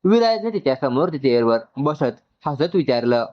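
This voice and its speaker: A man's voice: medium pitch, very animated, moderate pace, dull audio. A man narrates a scripted story and acts out its dialogue.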